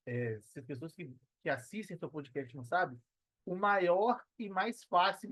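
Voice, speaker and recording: High-pitched Brazilian man in his thirties. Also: a bit bright, dry recording. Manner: conversational, peppered with slang tags seeking agreement. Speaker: podcast guest, personal trainer and affiliate marketer. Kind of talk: casual podcast interview talk.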